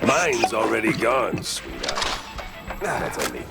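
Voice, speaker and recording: Casting: deep-voiced, gravelly noir mouse gumshoe, sardonic and slangy, expressive swings, music behind. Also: male voice, unhurried, heavily acted. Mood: cocky. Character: gruff wisecracking hardboiled male mouse private detective. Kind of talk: 1930s noir cartoon game acting.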